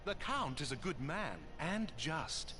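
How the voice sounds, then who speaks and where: A man's voice: a little high, big pitch swings, slow pace, sharp tone, exaggerated voice. Courteous nobleman with sweeping intonation. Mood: reassuring, earnest. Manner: formal, archaic courtly phrasing. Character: courteous, earnest nobleman, loyal and reassuring. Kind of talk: video game cutscene acting.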